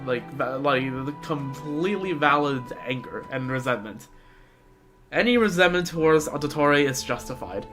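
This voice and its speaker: A man doing casual live game commentary as a streamer, rambling and halting as he repeats words. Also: a little high, expressive, a bit bright. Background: game music.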